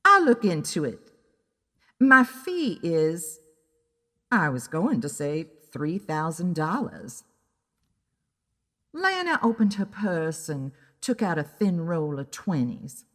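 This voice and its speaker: A woman playing a wry, easily annoyed private investigator who narrates her own story in a deliberate, dramatic way. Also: deep voice, very animated, fairly bright tone, long reverb tail, heavily acted. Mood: exasperated. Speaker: narrator and lead character of an audio drama. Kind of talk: scripted first-person detective story narration.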